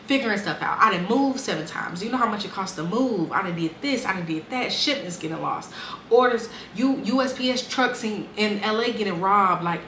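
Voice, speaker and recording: A woman's voice: medium pitch, fairly bright tone, echoey room, slight background noise. A woman in her twenties casually and candidly telling her life story, with lots of "um" and "like". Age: 20s. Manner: candid, conversational, frequent fillers and restarts.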